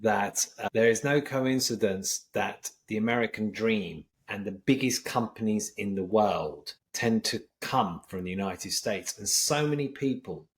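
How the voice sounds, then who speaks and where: A man's voice: fairly low pitch, measured pace, hardly any pauses. A British man hosting a podcast interview, talking conversationally and rephrasing himself mid-sentence. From Britain. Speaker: podcast host.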